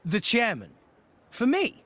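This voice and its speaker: An actor voicing an eager, energetic young salvager. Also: male voice, extremely high pitch, slow pace, heavily acted. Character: cheerful young male salvager. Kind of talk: video game voice acting.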